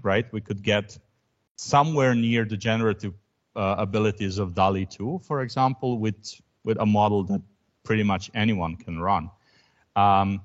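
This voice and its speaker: A man whose first language is Croatian, giving an academic lecture in a thoughtful way, with frequent ums and restarts. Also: fairly low pitch. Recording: dull lo-fi recording.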